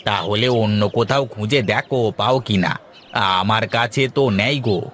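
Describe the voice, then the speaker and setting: A man's voice: lowish voice, moderate pace, fairly bright tone, slight background noise, natural voice. A man voicing a talkative, helpful toy-shop keeper in a cartoon. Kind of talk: cartoon voice acting.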